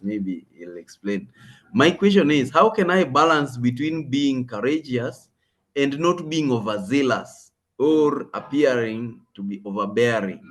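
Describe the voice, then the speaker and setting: A man with a Kenyan accent and a mid-pitched voice moderates a session in a conversational style. He moves haltingly through his remarks, often repeating words to drive them home. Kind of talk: conversational moderating of an online talk.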